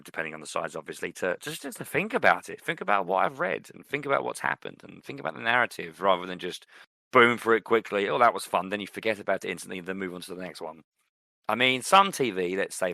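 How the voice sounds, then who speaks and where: A man with a very low, gravelly voice that still has a bright, sharp edge, co-hosting a casual podcast. He rambles and restarts as he talks through his opinions. The sound is dry and close. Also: no echo. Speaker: podcast co-host. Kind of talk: casual chat.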